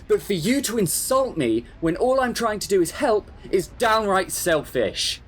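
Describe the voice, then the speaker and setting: A man's voice: sits high, very animated, measured pace, a little hiss or hum. An actor playing an exasperated, well-meaning male friend in a radio drama, speaking in an earnest, pointed way. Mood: hurt, reproachful.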